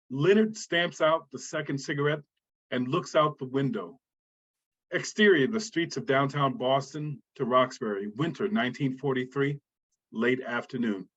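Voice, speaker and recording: A man reading out screenplay stage directions as a scripted narrator. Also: medium pitch. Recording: dull, muffled sound.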